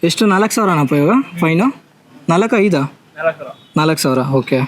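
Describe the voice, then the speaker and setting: A man's voice: on the high side, lively intonation, normal speed. A man hosting prank calls, chatting casually and mixing in English words. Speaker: prank show host. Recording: clearly noisy with clicks.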